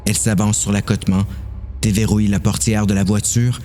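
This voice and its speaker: Low-voiced Quebec man narrating horror. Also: flat delivery, brisk, dark tone, a little hiss or hum. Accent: Quebec French. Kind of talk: dramatised horror story narration.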